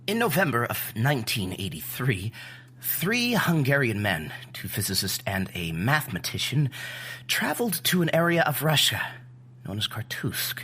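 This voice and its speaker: An actor playing a mild, bookish scientific expert in an audio drama, giving a halting briefing in a husky voice. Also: mid-range voice, sing-song, sharp tone, full character voice. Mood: hesitant, informative.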